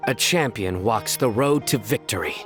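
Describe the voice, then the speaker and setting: A proud, determined champion from a video game, acted by a man. His voice is on the low side, terse and stoic, with music clearly behind it. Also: animated, normal speed, lightly acted.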